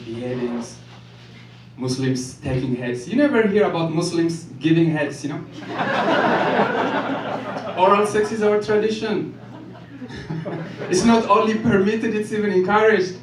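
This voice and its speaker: A man from Ethiopia performing a stand-up set in a comedy club, delivering jokes deadpan and chuckling at his own lines. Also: fairly high pitch, measured pace, long pauses, crowd noise. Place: comedy club stage with live audience. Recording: echoey club room, from far off, loud noise.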